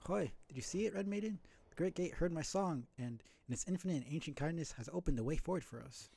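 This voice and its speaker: A man plays a small devout pilgrim bug in a video game, pitched high and full of naive gratitude. The delivery is lilting and singsong, reverent and cheerful. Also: animated, fast talker, vocal fry, warm tone, husky, exaggerated voice. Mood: awed, joyful.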